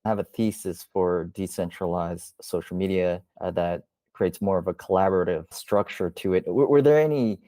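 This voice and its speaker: A middle-aged man hosting a podcast interview, asking a question in halting restarts over a video call. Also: low voice, dark tone, no room sound, clean studio sound. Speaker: podcast host. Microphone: video call, webcam framing.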